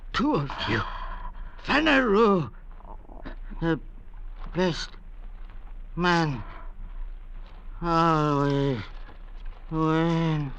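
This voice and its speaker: A man's voice: a little high, gravelly, bright, forceful tone, full character voice. A castaway man left for dead. His raised voice is strained and full of vengeful gloating, in old radio drama style. The recording has faint noise.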